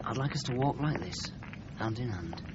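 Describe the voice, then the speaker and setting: An actor playing a sensitive, hesitant young bridegroom with a Lancashire accent, earnest and wistful, in a radio drama. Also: male voice, medium pitch, lively intonation, moderate pace, lightly acted. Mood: wistful, dreamy. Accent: Northern English, Lancashire. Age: young adult. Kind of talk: 1970s radio drama acting. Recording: dull, noisy old radio recording.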